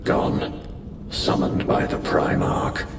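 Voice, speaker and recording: An actor playing a stern, solemn warrior-priest of a gothic space order in a video game cinematic, speaking gravely and ceremonially. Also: male voice, slow pace, recorded from a distance, noisy background, lightly acted. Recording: echoing hall with loud music behind.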